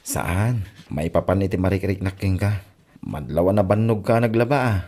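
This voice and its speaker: A man's voice: low-pitched, moderate pace, mellow. A man with an Ilocano Filipino accent playing a patient young suitor in a comedy drama. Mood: patient, courting. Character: patient young male suitor bringing treats.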